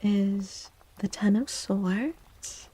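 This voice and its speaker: A woman streaming soft-spoken ASMR tarot readings, speaking gently and breathily, often dropping into a whisper and repeating her words. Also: medium pitch, drawn-out words, slightly rough. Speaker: live streamer doing tarot readings for chat.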